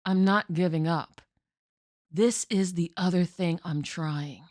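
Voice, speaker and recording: A woman acting a despairing adult daughter who talks quietly to her mother, pleading and sometimes in a whisper. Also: on the low side, dry recording, studio quality. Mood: firm, resolved.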